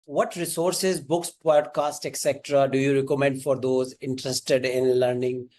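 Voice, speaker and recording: Man, podcast host, mid-pitched voice, prepared questions, short agreeing replies. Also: little pitch movement, normal speed, darkish tone. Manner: brief agreeable backchannels, scripted questions. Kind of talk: interview hosting.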